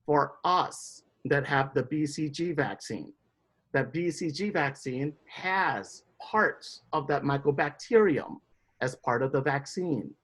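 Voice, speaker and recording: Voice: mid-range voice, animated, takes it slowly, fairly bright tone, husky. An instructor from Indonesia giving a classroom biology lecture, explaining step by step and often checking in with students.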